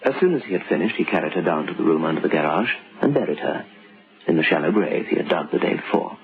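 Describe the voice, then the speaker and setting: A man narrates a vintage radio chiller in a low, gravelly voice. His delivery is measured and formal, and the sound is dull, with music underneath. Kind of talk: scripted old-time radio horror narration.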